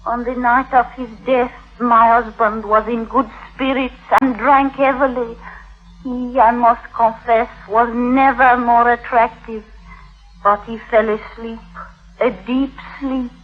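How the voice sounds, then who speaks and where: A genteel wife accused of murder, very slow and measured, with a slightly high voice. She keeps a formal composure in the manner of vintage radio drama, on a dull old recording. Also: little pitch movement. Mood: composed, wistful. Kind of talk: 1950s radio drama acting.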